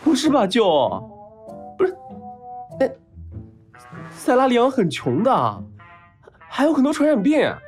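An actor playing a spoiled, dramatic, lovelorn heir of about thirty in a modern audio drama, with a whiny, theatrical delivery. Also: male voice, extremely high pitch, wildly swooping pitch, unhurried, pauses often, darkish tone, soft background music, heavily acted. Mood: whiny protest.

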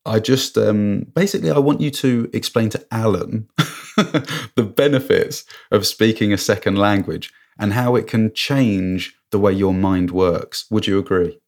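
A man co-hosting a podcast over a remote call, talking earnestly and reflectively, with sudden leaps up into a high-pitched exclamation. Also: measured pace. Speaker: podcast co-host. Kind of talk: casual chat.

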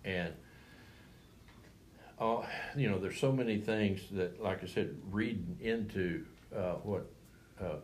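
An older man, a retired coach, talking in a rambling, halting way with many restarts. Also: on the low side, a little mellow, slight background noise. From Texas. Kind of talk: reflective interview talk.

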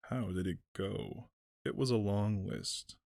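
A man voicing an ancient, slow-spoken male tree giant with a deep, humming voice. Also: creaky, a little mellow, no echo, clean studio sound, exaggerated voice. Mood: slow ponderous. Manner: slow, ponderous, murmuring.

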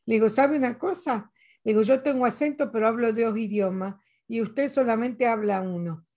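A woman's voice: fairly high pitch, moderate pace, darkish tone. An older Latin American woman talking in a casual conversation, earnest and reflective, telling personal stories. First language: Spanish.

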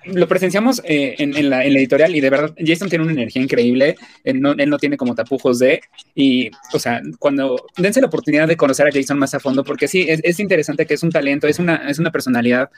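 A man with a Mexican accent conducting a casual interview, working toward his questions in a halting, roundabout way. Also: a little high. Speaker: magazine interviewer.

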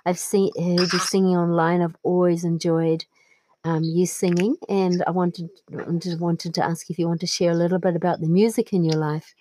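A woman hosting a podcast, asking gentle, tentative questions in an encouraging, conversational way. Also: lowish voice, flat delivery, normal speed, slight background noise, rough recording. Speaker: podcast host.

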